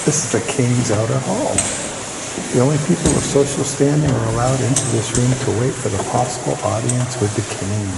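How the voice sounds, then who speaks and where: A man's voice: medium pitch, brisk, gravelly. Chatty man touring, noisy recording. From California. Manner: chatty, remarks on the sights. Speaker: vlogger touring a palace. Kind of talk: casual tour commentary. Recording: loud background noise.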